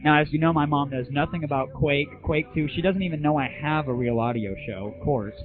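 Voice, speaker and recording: A man's voice: fairly high pitch, brisk, a crowd in the background. A young man hosting an internet radio show, talking casually about gaming in an irreverent, sarcastic, slangy way. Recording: tinny, phone-like 1990s internet audio, roomless.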